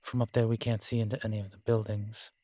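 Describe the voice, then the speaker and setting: A man playing a sardonic, weary vampire in an audio roleplay, speaking in a measured, formal, wry way. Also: on the low side, level tone, warm tone, no echo, lightly acted. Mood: wry, pondering. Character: sardonic, weary male vampire, protective of a little companion. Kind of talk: scripted audio roleplay drama.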